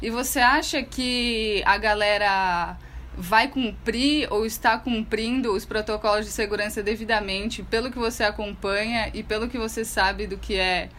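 A woman's voice: medium pitch, fairly bright tone. Chatty woman hosting a call, faint hiss. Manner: chatty, loose phrasing with fillers. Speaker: host. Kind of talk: casual video-call interview.

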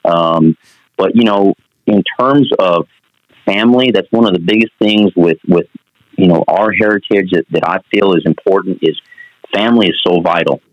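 A man's voice: low-pitched, normal speed, dry recording, highs cut off. A man in his thirties from New Mexico, a basketball coach answering interview questions earnestly, with many fillers.

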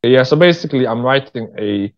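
A man's voice: mid-range voice, expressive, a little fast, highs cut off. A man speaking as an interview guest in a casual, candid, slangy chat, often repeating phrases for emphasis. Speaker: interview guest, screenwriter and director.

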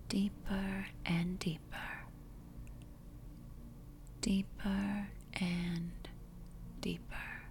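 A woman whispering a soothing, repetitive guided sleep hypnosis in ASMR style, breathy and unvoiced, with long pauses. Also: medium pitch, darkish tone, slight background noise. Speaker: hypnotist guiding sleep session. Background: music.

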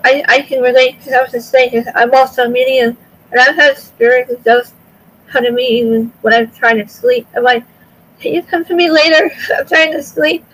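A woman hosting a podcast interview, soft-spoken and agreeable, speaking softly. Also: high-pitched, fairly even intonation, normal speed, poor audio. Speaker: podcast host. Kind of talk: casual interview chat.

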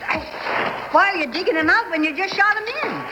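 An actress playing a skeptical, plain-spoken frontier wife who questions everything in a Western radio drama. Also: female voice, extremely high pitch, a little fast, over music. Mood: curious. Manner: incredulous, questioning. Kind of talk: old-fashioned Western radio drama acting. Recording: noisy 1970s radio drama broadcast.

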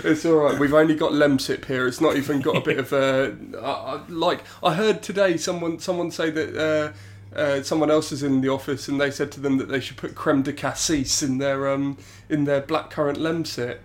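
A man's voice: on the high side, fairly even intonation, normal speed. Stuffy-nosed man hosting a podcast. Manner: chatty, self-deprecating, many ums and restarts. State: stuffy with a cold. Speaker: podcast host. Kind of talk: casual podcast chat. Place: home studio.

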